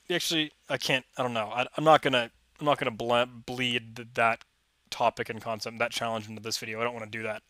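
Bright-voiced young man on headset. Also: mid-range voice, no room sound. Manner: chatty, enthusiastic, rambling with restarts. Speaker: tech tutorial host. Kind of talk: casual screen-recorded walkthrough.

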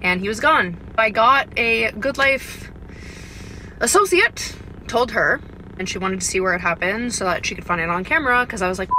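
High, sharp-voiced indignant young woman. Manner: indignant, sweary. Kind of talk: agitated first-person social media story. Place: inside a car. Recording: faintly noisy phone clip.

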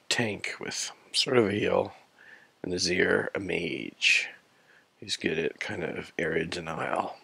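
A sick man with a scratchy, rough voice commentates his game in a slow, low-key way. His voice is very deep and heavily gravelly, yet bright and forceful in tone. Game music plays faintly behind him. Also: no echo. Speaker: gameplay commentator. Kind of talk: casual gameplay commentary.

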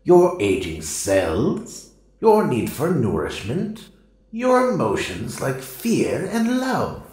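An actor voicing a stern, solemn mystical spirit in an audio drama, speaking deliberately and lecturing. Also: mid-range voice, a little mellow, heavily acted. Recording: heavily echoing voice.

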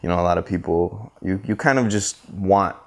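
A man, giving reflective interview answers in a halting, thoughtful way. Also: low voice. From Costa Rica, later United States. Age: 20s. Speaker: interview guest, photographer and visual artist. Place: living room, indoors on a sofa. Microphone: clip-on lapel mic.

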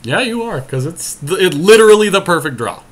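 A man giving casual game commentary with a friend, teasing and thinking his moves out loud. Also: high voice, big pitch swings, fairly quick. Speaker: podcast host playing an online card game.

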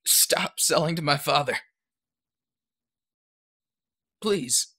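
An actor playing a troubled, volatile teenage boy in an audio drama, speaking in a halting, repetitive, distraught way. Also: fairly high pitch, breathy edge, full character voice. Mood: enraged, desperate. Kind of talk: emotional audio drama acting. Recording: loud music behind the voice.

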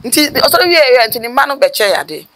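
A middle-aged woman with a Ghanaian accent, a mortuary worker telling her stories in an outdoor interview, animated and gesturing as she talks. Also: high-pitched, rough recording. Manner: animated, gesturing with her hands, rapid bursts of speech. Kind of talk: storytelling interview. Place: outdoors by a building wall.

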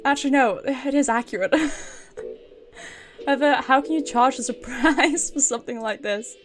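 High-voiced British woman streaming a game. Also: a little slow. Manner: talks to herself, playful, giggly, mildly sweary. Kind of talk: casual live game commentary, reading dialogue aloud. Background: game music.

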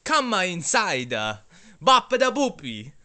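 High, hammy fake-Italian pizzeria owner. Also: male voice, very animated, bright, forceful tone, exaggerated voice. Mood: boastful, hammy. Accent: exaggerated fake Italian. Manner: hammy, halting broken English with filler syllables. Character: shady, hot-tempered Italian pizzeria owner, a grown man. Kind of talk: comic character acting.

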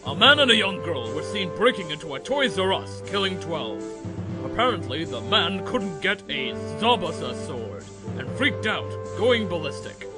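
A man's voice: sits high, very animated, moderate pace, over music. A man playing an earnest TV news reporter reading a mock bulletin in a cartoon parody. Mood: urgent, formal.

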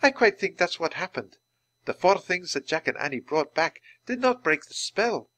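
Man voicing a calm, high-voiced young enchantress. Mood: calm, kindly. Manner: measured, gently authoritative. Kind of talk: children's audiobook character voice.

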